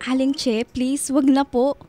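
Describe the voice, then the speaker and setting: High-voiced, resentful Filipino teenage daughter. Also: female voice, flat delivery, unhurried, warm tone. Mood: weary, resentful. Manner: accusing, bitter, emotional. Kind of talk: Filipino radio drama acting.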